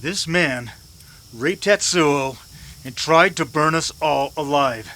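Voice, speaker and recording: Vengeful Old West gunman played by a man: forceful edge, very slow menacing delivery, seething, western audio drama. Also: fairly high pitch, heavily acted. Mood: seething rage. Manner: menacing, deliberate. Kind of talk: old-time western radio drama acting.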